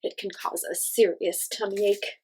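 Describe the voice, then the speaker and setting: A woman with a medium-pitched voice that has a little huskiness to it reads aloud for young children. She stresses words expressively and talks straight to her listeners, in the simple, explanatory way of a teacher hosting storytime. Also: fairly even intonation, moderate pace, darkish tone, breathy edge, rough recording.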